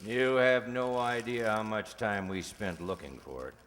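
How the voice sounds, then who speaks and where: A surly, suspicious henchman, played by a man in a radio crime play. His lowish voice takes its time over emphatic tough-guy lines, with the reverb of a hall around it. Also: measured pace, fairly bright tone, slight background noise. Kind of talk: old-time radio crime drama acting. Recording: echoey hall stage recording.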